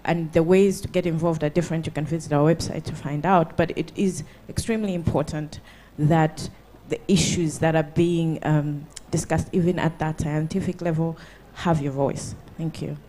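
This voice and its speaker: A woman's voice: sits low, steady tone, normal speed, few pauses, dark tone, a little hiss or hum. A woman making an earnest comment from the audience, encouraging the panel to get involved. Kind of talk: comment from the floor.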